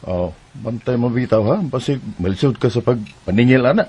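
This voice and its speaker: An actor playing a cautious, doubtful husband in Bisaya radio drama, hesitant, with a Cebuano accent and slightly muffled sound. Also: male voice, mid-range voice, normal speed, darkish tone, breathy edge, natural voice. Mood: worried. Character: cautious, doubtful husband, wary of lending on credit.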